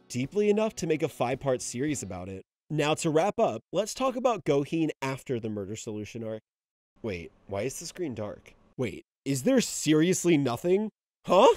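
A young man giving casual video-essay commentary, animated and sometimes ranting, sometimes sentimental. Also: medium pitch. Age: young adult.

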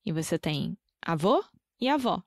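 A woman's voice: lowish voice, big pitch swings, moderate pace, darkish tone, no room sound, clean studio sound. Brazilian woman co-hosting a language podcast. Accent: Brazilian Portuguese. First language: Portuguese. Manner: laughs often, clear careful pronunciation. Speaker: podcast co-host teaching Portuguese. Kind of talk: casual language-teaching chat.

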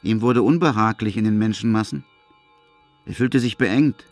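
A man's voice: fairly low pitch, moderate pace, no room sound, soft background music. A man reading scripted literary narration as the narrator of a radio play.

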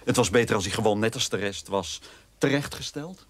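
An actor playing the bitter, idealistic son of a war criminal, speaking in an earnest, accusatory way in a radio play. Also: male voice, on the low side, fairly quick, fairly bright tone, no room sound. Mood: bitter, hateful.

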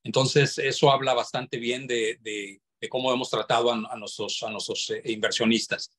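A man's voice: medium pitch, fairly even intonation, moderate pace, fairly bright tone, slightly rough. A man from Mexico, a developer's representative explaining informally on a video call, often searching for words. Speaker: property developer's representative, webinar guest.

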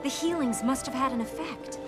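Earnest young princess played by a woman, loud music. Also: high voice, a little fast, lightly acted. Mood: earnest, explaining. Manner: serious, terse, dry. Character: earnest, resolute young princess with dry wit. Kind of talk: video game cutscene acting. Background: loud game music.

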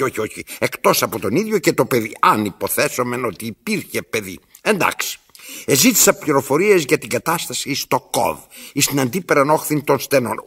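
An actor playing an imperious, pompous old tyrant in a radio play, declaiming with self-interrupting asides in a gravelly voice. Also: male voice, mid-range voice, a bit bright, full character voice. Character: imperious, theatrical old male tyrant telling his story. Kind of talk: radio theatre acting.

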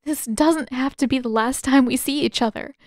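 A woman's voice: sits high, normal speed, a little mellow, dry recording, studio quality, voice pushed up, natural voice. A woman voicing a tearful, determined teenage girl in a fan fiction reading, pleading earnestly and urgently.

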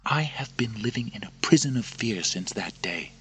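A man voicing a frightened noblewoman who pleads softly and often whispers, with a breathy voice. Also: fairly low pitch, expressive, moderate pace, faint music behind, pitched above the performer's own voice. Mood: pleading. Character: grieving noblewoman, afraid for her son.

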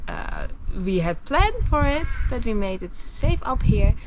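A young woman chatting casually to a camera in a camper van, with frequent halting pauses. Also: on the high side, very animated, highs cut off, background noise. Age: young adult. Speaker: travel vlogger. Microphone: camera at arm's length.

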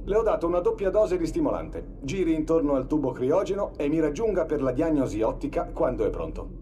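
Game role: harried, deferential cryo technician, clipped delivery, animated pitch, noisy background. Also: male voice, brisk. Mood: brisk, courteous. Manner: clipped, polite, procedural. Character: brisk, deferential military cryo technician, adult man, harried. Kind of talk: video game voice acting.

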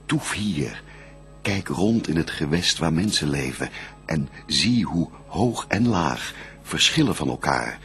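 The first man on earth, a devout bridegroom in a radio verse drama. His very low, creaky voice declaims formally and reverently, with music behind it. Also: animated, slow, fairly bright tone, full character voice. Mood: reverent, welcoming.

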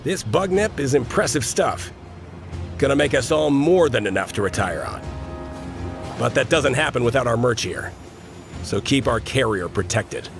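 An actor playing a gruff, defiant mercenary squad leader in a video game, with a sardonic, blunt way of talking. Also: male voice, mid-range voice, lively intonation, moderate pace, pauses often. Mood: sardonic, scheming. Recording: game music behind the voice.

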